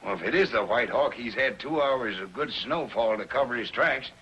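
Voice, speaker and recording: An actor playing a plainspoken, folksy, helpful trading post keeper in a 1950s TV adventure. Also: male voice, mid-range voice, lively intonation, normal speed, natural voice. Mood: folksy, surprised. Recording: dull, noisy vintage TV soundtrack.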